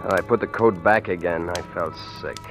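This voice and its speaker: Frightened everyman for vintage radio thriller: low-pitched, hesitant, haunted first-person delivery, music behind. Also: steady tone, fairly quick. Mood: tense dread. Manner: hesitant, stammering. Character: frightened ordinary husband mistaken for a killers' client. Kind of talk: 1940s radio acting, first-person suspense narration. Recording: old-time radio recording with music.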